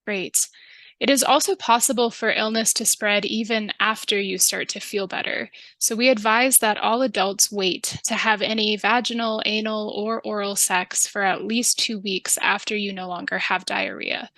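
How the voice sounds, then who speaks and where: A woman with a calm, polite and methodical manner, giving instructions in a scripted public health training video. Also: medium pitch, moderate pace, dry recording, poor audio. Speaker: public health interviewer and narrator.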